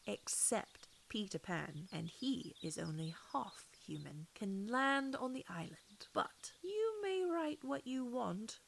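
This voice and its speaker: A woman's voice: medium pitch, very animated, takes it slowly, vocal fry, few pauses, slight background noise. A woman reading a classic storybook aloud as scripted audiobook narration. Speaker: audiobook reader.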